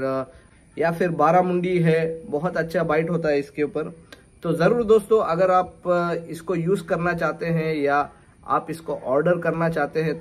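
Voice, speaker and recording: A man in his thirties, a fishing tackle shop owner, talking casually to camera on a house porch and mixing English phrases into his talk. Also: on the high side. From Maharashtra, India. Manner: chatty, keeps calling viewers "friends", mixes English phrases in. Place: covered porch of a house, daytime.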